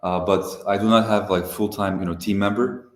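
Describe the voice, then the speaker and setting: A young man from Turkey, a software founder, talking casually as a guest on a live video call, relaxed and hesitant with many fillers. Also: lowish voice, fairly quick, echoey room. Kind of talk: casual interview over a live stream.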